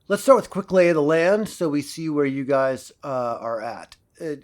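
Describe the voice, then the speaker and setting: Male lead for audio drama: actor playing a confident university lecturer, mid-pitched, didactic with a theatrical streak. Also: darkish tone, lightly acted. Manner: didactic, theatrical, prompts listeners with questions. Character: self-assured male professor lecturing on future history.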